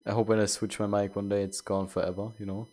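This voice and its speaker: Young male streamer with a lowish voice, chatty and rambling while he plays. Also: flat delivery, fast, a little mellow. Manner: rambling, self-correcting. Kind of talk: casual chat while gaming.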